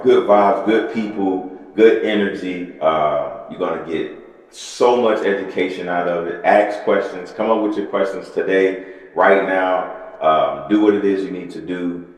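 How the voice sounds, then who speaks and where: Deep-voiced man in a very echoey room. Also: a little slow. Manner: rambling, repetitive, motivational. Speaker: personal trainer and podcast co-host. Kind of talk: casual podcast chat. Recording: very echoey room with long reverb.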